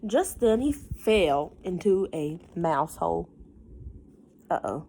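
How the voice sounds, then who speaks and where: A woman teacher reading a picture book aloud to young children, with chatty asides and questions, in an expressive, gentle teacherly manner. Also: on the low side, slow pace, slight background noise.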